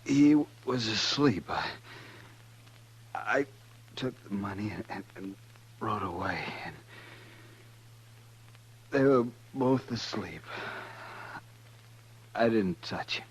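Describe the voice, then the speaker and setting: An actor playing a dying, wounded outlaw in a 1950s radio western, with a weak, husky, halting voice. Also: male voice, fairly low pitch, expressive, poor audio. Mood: weak, distressed. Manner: halting, faltering. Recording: vintage 1950s radio recording.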